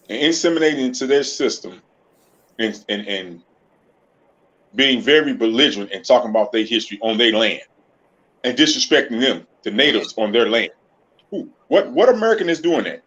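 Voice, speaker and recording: Man, mid pitch, relaxed casual talk, dull recording. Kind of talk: casual chat. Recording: dull lo-fi line.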